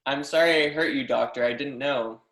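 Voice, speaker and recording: A man's voice: medium pitch, brisk, a bit bright, close to an everyday voice. Man playing an eager young scholar, echoey room. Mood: flustered, apologetic. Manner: earnest, effusive, apologetic, formally polite. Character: eager, deferential young German mathematics professor, flustered admirer. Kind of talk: readers theatre performance of a history play.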